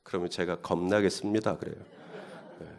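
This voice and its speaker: A man's voice: sits low, fairly even intonation, brisk, creaky. A male pastor preaching a Holy Week sermon. He talks in a conversational way and builds up to emphatic questions. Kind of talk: sermon at early-morning Holy Week service.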